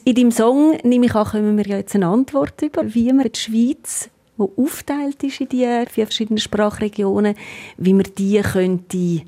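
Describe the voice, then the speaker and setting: A woman's voice: medium pitch, moderate pace, dark tone. A woman with a Swiss German accent interviewing a singer in a basement rehearsal room, friendly, with follow-up questions. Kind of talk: radio interview.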